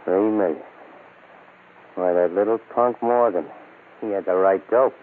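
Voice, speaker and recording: A man's voice: deep voice, a little slow. An actor playing a greedy, suspicious gangster henchman with a blunt American way of talking in a radio crime drama. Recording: noisy, tinny old radio recording.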